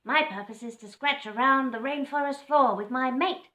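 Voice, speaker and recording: A woman's voice: high-pitched, moderate pace, bright, forceful tone, reverberant, voice pushed up. A woman voicing a bustling female scrub fowl with a warbling, emphatic way of talking. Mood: brisk.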